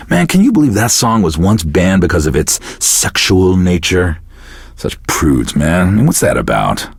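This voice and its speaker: An actor playing a depressed, divorced middle-aged radio DJ in a game, deadpan, sardonic and self-deprecating as he hosts. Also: sits low, vocal fry, breathy edge, no echo, clean studio sound, exaggerated voice. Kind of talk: radio show hosting, comic self-pitying banter.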